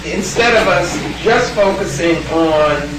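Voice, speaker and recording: A woman's voice: on the low side, expressive, takes it slowly. A woman lecturer answering audience questions in a thoughtful, emphatic way and restarting phrases as she reframes them. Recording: very echoey hall, distant mic, noisy.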